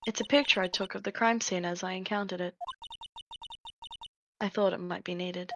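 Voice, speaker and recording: A woman voicing a serious chief prosecutor giving measured testimony in a live fan dub of a game. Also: mid-range voice, steady tone, a bit bright, soft background music, lightly acted. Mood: confessing. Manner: measured testimony, breaks into laughter.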